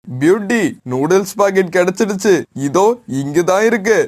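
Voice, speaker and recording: A man's voice: sits high, lively intonation, normal speed. A man voicing a mild, earnest adult male pigeon shopkeeper in a children's cartoon. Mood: puzzled, helpful.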